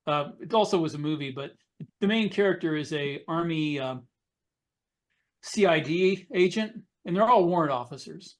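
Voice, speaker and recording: A man with a fairly high voice discusses things as a podcast panelist. He is informal, explanatory and opinionated. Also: normal speed. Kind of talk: casual discussion.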